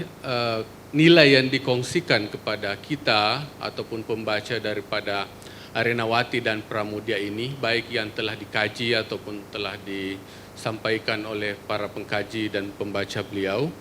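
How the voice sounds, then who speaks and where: A man from Indonesia with an Indonesian accent, presenting at a forum in a lecture hall, relaxed and joking, with many hesitations. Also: on the low side, moderate pace, slight background noise. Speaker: forum speaker, media researcher. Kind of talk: conference presentation.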